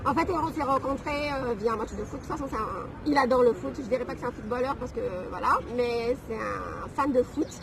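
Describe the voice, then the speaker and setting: A woman's voice: a little high, fairly quick, gravelly, few pauses, a crowd in the background. A woman in her thirties from Algeria, telling her story in a casual interview with frequent fillers. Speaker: guest. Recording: noisy background.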